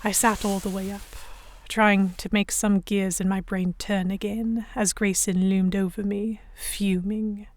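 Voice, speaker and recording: A woman playing a young Victorian noblewoman captive, narrating her story in a measured, literary first person. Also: medium pitch, little pitch movement, natural voice. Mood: dazed, reflective. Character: young English noblewoman captive, reflective, stubborn, Victorian era. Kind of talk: first-person scripted narration in an audio drama.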